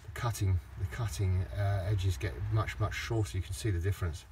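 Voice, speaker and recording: A middle-aged man with a low voice, rambling through a casual hands-on garden demonstration with lots of restarts. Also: fairly even intonation, a little fast, a little mellow, slight background noise. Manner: rambling, with many restarts and fillers. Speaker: DIY vlogger. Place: outdoors in a garden.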